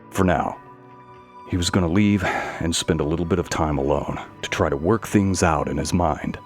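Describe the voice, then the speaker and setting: A man reading scripted narration for a dark mystery story. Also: extremely low pitch. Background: faint music.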